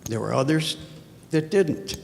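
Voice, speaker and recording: A hoarse man from the audience speaking earnestly and with emphasis. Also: fairly high pitch, animated, a little slow, a little hiss or hum. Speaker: audience member asking a question. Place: auditorium with audience. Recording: reverberant hall.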